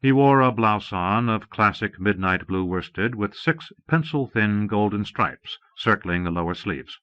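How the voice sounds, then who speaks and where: A man reading a novel as an audiobook narrator, in a measured, formal manner. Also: lowish voice, bright, forceful tone, no room sound. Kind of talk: scripted narration of a science fiction novel. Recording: dull lo-fi cassette audiobook.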